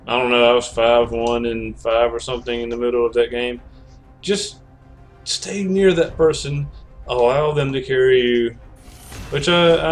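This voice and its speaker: A man streaming a video game, chatting casually and rambling with many self-corrections, his voice cracking from a cold. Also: mid-range voice. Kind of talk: casual gameplay commentary.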